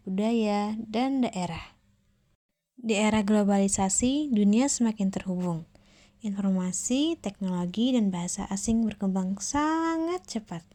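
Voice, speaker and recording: A woman's voice: medium pitch, a little mellow. Young woman presenting formally. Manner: formal, read-aloud delivery. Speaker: university student presenter. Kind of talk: scripted presentation.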